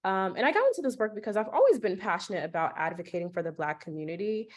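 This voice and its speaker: A young adult woman, a doctoral student, speaking as a panelist in an online discussion with an excited, upbeat manner. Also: mid-range voice, quick pace. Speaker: panelist, research lead.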